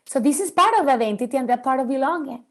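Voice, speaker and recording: A woman in her forties from Venezuela whose first language is Spanish, speaking as a guest about raising bilingual children in an earnest, animated way. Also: sits high, quick pace. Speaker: podcast guest, bilingual parenting expert. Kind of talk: interview conversation.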